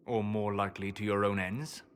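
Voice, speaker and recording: Man playing a low-voiced, reserved elven mage. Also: flat delivery, a little hiss or hum, close to an everyday voice. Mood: stern, distrustful. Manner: measured, deliberate, cutting. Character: reserved, learned elf mage, quietly disdainful. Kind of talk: dramatic fantasy game acting.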